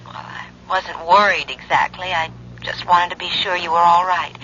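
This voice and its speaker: An actress playing a gentle, worried, estranged wife speaking hesitantly over the telephone in a 1970s radio drama. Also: female voice, on the low side, flat delivery, normal speed. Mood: wistful, guarded. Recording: noisy, thin telephone line on old radio.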